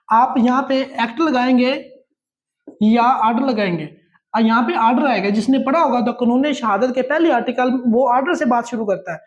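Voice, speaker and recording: A man with a Pakistani accent lecturing as a law teacher, reading aloud and explaining in a repetitive, instructional way with frequent check-in tags. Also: extremely high pitch.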